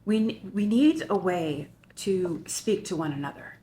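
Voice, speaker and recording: A woman's voice: lowish voice, animated, unhurried, mellow. A woman playing an earnest, probing character in a screenplay table read. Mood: vulnerable, sober. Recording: roomy echoing table-read recording.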